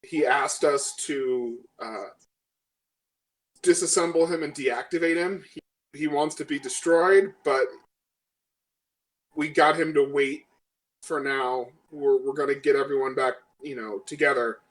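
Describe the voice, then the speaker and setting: A man playing a tabletop role-playing game, casually describing his character's actions in a halting, think-aloud way. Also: mid-range voice, fairly even intonation, rough recording.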